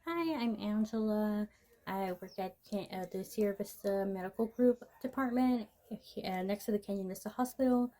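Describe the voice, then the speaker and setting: Mellow-voiced woman telling her story. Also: mid-range voice, moderate pace. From United States. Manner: conversational, with many ums and restarts. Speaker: medical assistant interviewee. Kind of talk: casual interview answer.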